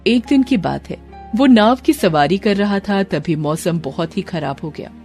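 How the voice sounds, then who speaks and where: Animated woman narrating a story. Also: medium pitch, measured pace, faint music behind. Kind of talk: dramatic scripted cartoon story narration.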